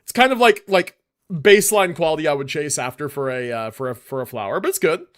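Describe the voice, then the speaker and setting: A man hosting a livestream, casually reviewing game builds in relaxed, slangy talk as he thinks aloud. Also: fairly high pitch, fairly quick. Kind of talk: casual gaming livestream commentary.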